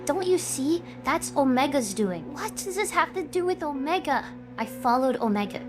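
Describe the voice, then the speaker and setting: A woman's voice: sits high, very animated, moderate pace, music in the background. A woman acting out a troubled young streamer and her scheming alter ego in a scripted, emotional drama. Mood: vengeful, scheming. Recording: noisy background ambience. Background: ambient noise.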